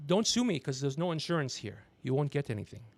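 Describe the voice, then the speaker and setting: A man's voice: fairly high pitch, very animated, fast. A man in his fifties hosting a casual comedy livestream, rambling, teasing and swearing as he talks. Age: 50s. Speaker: podcast host.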